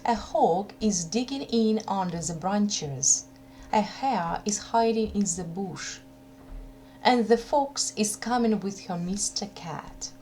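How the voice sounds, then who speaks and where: Slow, lively woman storyteller over a hum. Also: medium pitch, low-quality recording. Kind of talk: scripted folk tale narration for children. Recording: noticeable background hum.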